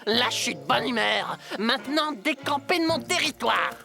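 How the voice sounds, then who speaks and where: A small but fierce cartoon honey badger, boastful and always irritable. The voice is gravelly and sharp-edged, with music faintly behind it. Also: medium pitch, very animated, moderate pace, exaggerated voice. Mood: irritable, threatening. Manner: gruff, boastful. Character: grumpy, boastful little honey badger of the jungle. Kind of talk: French cartoon dub.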